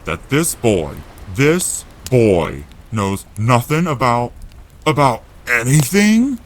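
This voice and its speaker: Man putting on a booming, blustering giant. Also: big pitch swings, slow, slight background noise. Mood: outraged. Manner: booming, growling, rustic colloquial speech. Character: huge blustering giant man, rough-spoken, indignant, protective. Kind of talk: audiobook-style audio drama character.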